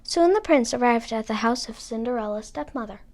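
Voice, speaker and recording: A woman narrating a fairy tale as a storybook reader, with expressive delivery. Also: fairly high pitch, a little fast.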